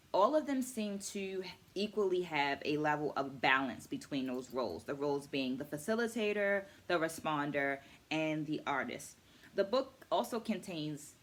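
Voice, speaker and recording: A middle-aged woman with a slightly low voice hosts a reading vlog. She speaks conversationally to the viewer, laying out each point in a measured, explanatory manner, much like a teacher. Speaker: host of a book-takeaway video series. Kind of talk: conversational book review to camera.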